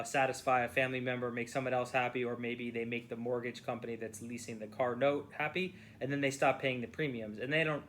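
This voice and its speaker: A man speaking as a personal injury lawyer, giving casual, blunt advice with repeated phrases and restarts. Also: mid-range voice. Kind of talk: casual phone advice to a client. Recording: clear background noise.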